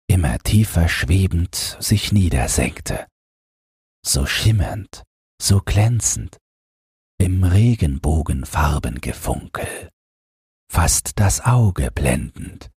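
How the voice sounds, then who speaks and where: A man reads a fairy tale in a gentle, expressive audiobook style. His voice is low and very breathy, moving with lively intonation. The delivery suits bedtime storytelling. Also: no echo, studio quality. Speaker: audiobook narrator.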